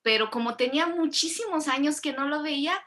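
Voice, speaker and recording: A woman's voice: high-pitched, brisk, background noise. A woman from Mexico, hosting a casual interview and rambling animatedly with plenty of self-corrections. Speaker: host.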